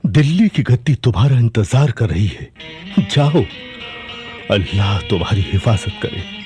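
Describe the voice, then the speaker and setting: A man's voice: medium pitch, wildly swooping pitch, a little slow, music in the background. An actor playing a solemn, fatherly old sultan in a radio play. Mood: grave blessing. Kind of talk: Hindi radio play acting.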